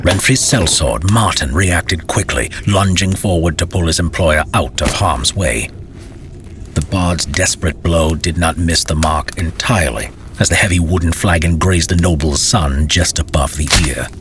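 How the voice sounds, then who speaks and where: A man reading a scripted fantasy story as narrator, in a measured, dramatic storytelling manner. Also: low voice, noisy background.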